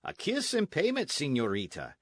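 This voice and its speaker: A man's voice: on the high side, huge pitch swings, normal speed, fairly bright tone, no echo, studio quality, exaggerated voice. A man voicing an arrogant Spanish colonial army captain in a storybook, pompous, sneering and insistent. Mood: menacing, gloating. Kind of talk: storybook narration with character voices.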